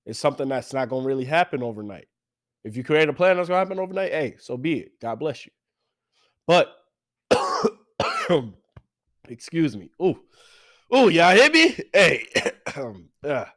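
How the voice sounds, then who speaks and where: A young man with a stuffy, congested voice hosts a motivational podcast, talking with lots of energy and repeating his phrases like a preacher. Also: medium pitch. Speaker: podcast host.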